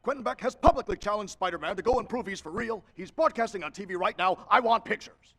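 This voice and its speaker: Newspaper boss,, fast, raspy, sharp barking tone. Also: male voice, sits high, exaggerated voice. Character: gruff shouting male newspaper editor, impatient.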